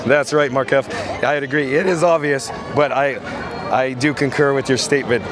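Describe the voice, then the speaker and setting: A hoarse man hosting a live walking vlog outdoors, coughing now and then and reading viewer comments aloud. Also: medium pitch, fairly even intonation, normal speed, music in the background. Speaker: vlogger host. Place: outdoors at a busy theme park. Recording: loud crowd and outdoor noise. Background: crowd chatter.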